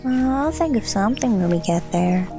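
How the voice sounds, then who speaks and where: A woman's voice: mid-range voice, expressive, normal speed, over music, close to an everyday voice. A woman playing a thoughtful young daughter in an audio drama, speaking hesitantly and wistfully. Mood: playful. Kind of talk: audio drama acting and narration.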